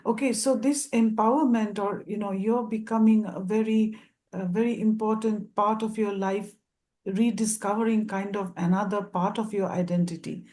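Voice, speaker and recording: A woman's voice: mid-range voice, steady tone, normal speed, dark tone. A woman moderating a panel interview, asking long rambling questions with repeated words.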